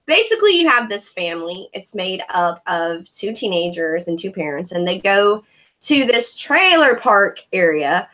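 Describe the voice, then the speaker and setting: Bright, bubbly woman hosting a livestream. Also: medium pitch, big pitch swings, normal speed. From Korea. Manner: bubbly, sarcastic, chatty. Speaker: host. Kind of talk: casual livestream movie review chat. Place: home, on a video call. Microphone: video call.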